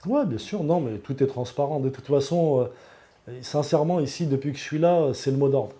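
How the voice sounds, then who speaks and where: A man's voice: medium pitch, brisk, dark tone. A man, giving an unscripted testimonial as a patient in an earnest, emphatic way. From Lyon, France. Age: 40s.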